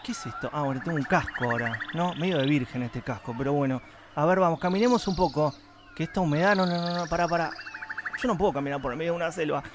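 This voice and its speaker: A man's voice: a little high, fast talker, background noise, natural voice. A man with a Rioplatense Argentine accent playing a flustered, complaining radio host in a comic radio play, exclamatory and rambling. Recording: music behind.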